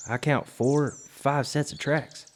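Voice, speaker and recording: Actor as a laconic woodsman, mid-pitched. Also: fairly even intonation, takes it slowly, a little hiss or hum, lightly acted. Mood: cautious, observant. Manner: hushed, laconic, folksy. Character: cautious, observant country hunter tracking strangers. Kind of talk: radio drama acting.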